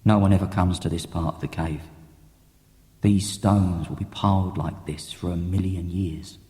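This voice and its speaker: A man playing a gentle, sorrowful father speaking earnestly and lovingly in a dramatic audiobook. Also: low-pitched, steady tone, pitched below the performer's own voice, natural voice. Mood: tender. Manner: earnest, slow and tender. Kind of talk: dramatic audiobook character reading. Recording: cassette audiobook with long reverb.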